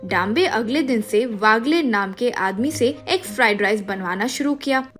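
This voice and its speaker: Slightly high-voiced woman narrating a story. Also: animated, moderate pace, a bit bright, soft background music. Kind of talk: scripted moral-story narration.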